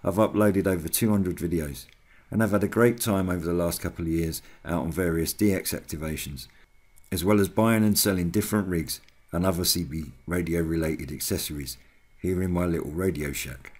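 A man's voice: deep voice, darkish tone. A British man hosting a hobby video channel, giving a scripted voice-over update to his viewers.

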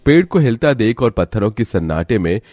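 A man's voice: lowish voice, very animated, fairly quick, a little mellow, a little hiss or hum. A man narrating a scripted moral story as a storyteller.

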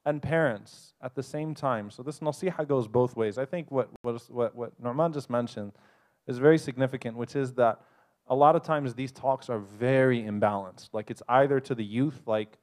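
Man, mid-pitched voice, panel speaker, earnest, advising, brisk. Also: a little mellow. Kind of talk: live advice talk to an audience. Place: convention hall.

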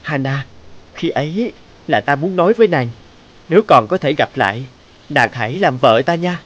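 A man's voice: a little high, animated, normal speed. A man voicing a devoted young fairy-tale prince in a cartoon, earnest and tender.

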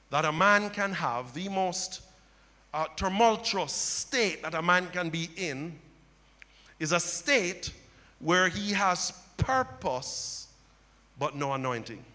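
Impassioned preacher man, bright high voice. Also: animated, takes it slowly, pauses often. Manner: impassioned preaching, rising to loud cries. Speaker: pastor. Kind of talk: sermon. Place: church stage with audience. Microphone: handheld mic. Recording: long hall reverb.

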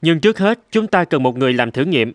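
A man's voice: a little high, big pitch swings, fast talker, no room sound. A man playing an earnest, caring country doctor in a cartoon dub, serious and concerned. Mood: earnest, explaining. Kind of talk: cartoon dub with first-person storytelling. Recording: music under the voice.

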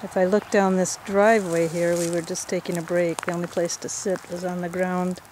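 A woman with a somewhat low voice narrates a casual video diary while out hiking, matter-of-fact and plain. She is recorded outdoors on a camera mic, with steady road traffic loud behind her. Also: normal speed, rough recording. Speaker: long-distance hiker filming a trip video. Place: outdoors beside a busy highway.

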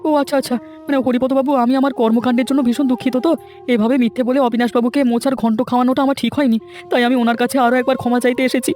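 A man puts on a meek young village husband for a fairy tale cartoon. His voice is pitched high, and he talks quickly yet hesitantly, with guilty deference. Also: flat delivery, a little mellow, faint music behind. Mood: pleading.

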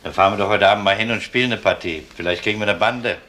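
A man's voice: lowish voice, very fast, sharp tone, a little hiss or hum. A man playing a dry, sardonic police detective in a crime radio play, ironic and authoritative. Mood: dry, probing.

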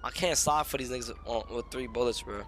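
A man's voice: medium pitch, fairly quick, a bit bright, slight background noise. An American man talking casually as a game streamer, slangy and swearing heavily, mixing roleplay with asides to his chat.

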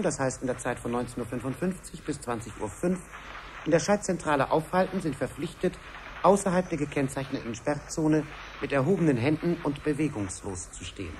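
An actor playing a businesslike, courteous technical delegate with an official manner in a 1970s radio play. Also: male voice, on the high side, a little mellow, natural voice. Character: polite, businesslike male technical delegate at a control desk. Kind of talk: 1970s German radio drama acting. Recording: slightly noisy 1970s radio recording.

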